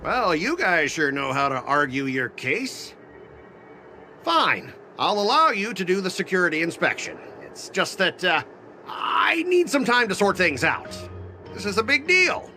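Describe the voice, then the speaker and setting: An actor playing a pompous, blustering young corporate manager in a video game. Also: male voice, sits high, sing-song, unhurried, sharp tone, faint music behind, heavily acted. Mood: grudging. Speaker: company manager.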